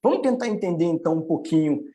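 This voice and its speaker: A middle-aged man with a Carioca Rio accent, an economist giving an informal, explanatory presentation with hesitant pauses. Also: on the high side, animated, mellow. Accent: Carioca (Rio de Janeiro) Brazilian. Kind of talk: informal online presentation with slides.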